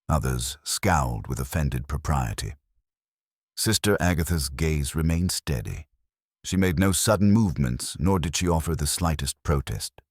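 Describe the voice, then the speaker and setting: A man reading scripted narration of a mystery story in a measured, literary storytelling manner. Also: very deep voice, slightly rough, no room sound, clean studio sound.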